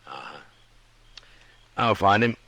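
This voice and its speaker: An actor playing a steady, authoritative Old West marshal with an American accent and terse, measured delivery in a radio western. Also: male voice, low-pitched, exaggerated voice. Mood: calm, probing. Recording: noisy vintage radio drama recording.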